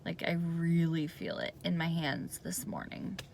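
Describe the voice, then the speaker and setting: A woman giving a casual video diary update in a hoarse, raspy voice, hesitant and rambling with lots of fillers. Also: lowish voice, measured pace, darkish tone, a little hiss or hum. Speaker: vlogger giving a health update.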